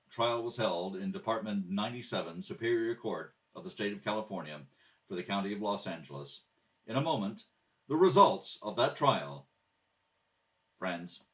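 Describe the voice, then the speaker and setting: A man's voice: low voice, far from the mic. A man reading scripted announcements and narration in the style of an old-time radio program.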